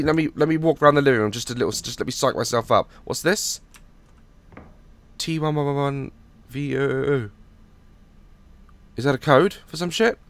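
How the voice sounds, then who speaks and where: Young man, hoarse, gravelly, animated pitch, nervous horror-game banter, headset mic. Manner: jumpy, swearing, nervous joking. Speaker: gaming YouTuber. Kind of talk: horror game let's play commentary.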